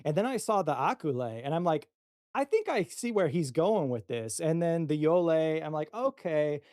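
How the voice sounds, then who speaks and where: Man, somewhat high-pitched, casual chat, tabletop player, dry echo-free sound. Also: big pitch swings, normal speed, a little mellow. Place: online voice call.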